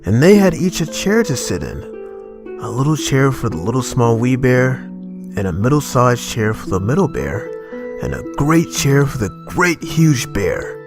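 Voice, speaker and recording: A man narrating a children's tale as a storyteller, reading expressively in a measured manner. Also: mid-range voice, sing-song, over music.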